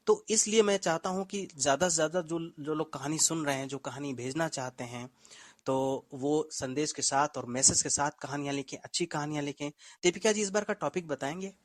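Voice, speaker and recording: A man co-hosting a story show in a conversational way, pausing to search for words and repeating his phrases. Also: a little high, no room sound, clean studio sound.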